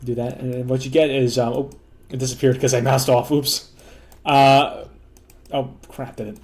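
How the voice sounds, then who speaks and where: A hoarse man casually walking through a demo of software he built, rambling loosely with lots of fillers, restarts and blunt joking asides. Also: medium pitch, flat delivery, moderate pace. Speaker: developer demoing his own software. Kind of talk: casual rambling screen walkthrough.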